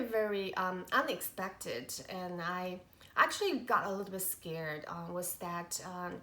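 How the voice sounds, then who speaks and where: A woman in her thirties, a mother of three, chatting casually to camera in a halting, rambling way, her voice nasal from a cold. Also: fairly high pitch, sharp tone. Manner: rambling, halting, many fillers and restarts. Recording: echoey room.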